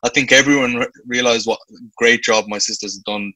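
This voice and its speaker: A man's voice: medium pitch, fairly even intonation, fairly quick, pushed and bright, no echo, low-quality recording. A man in his twenties with a Dublin Irish accent, talking earnestly as a guest on a video call, often restarting his sentences. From Ireland. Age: 20s. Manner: earnest, with frequent restarts and "you know". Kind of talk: reflective conversational interview. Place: indoor room with light walls. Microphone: video call webcam.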